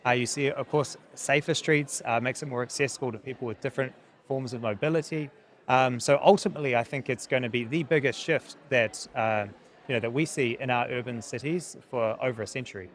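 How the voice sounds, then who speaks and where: Man, New Zealand accent, mid-range pitch, conversational, earnest, hesitant phrasing with repeated words. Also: brisk. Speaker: technology company founder, interview guest. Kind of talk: conversational interview answers.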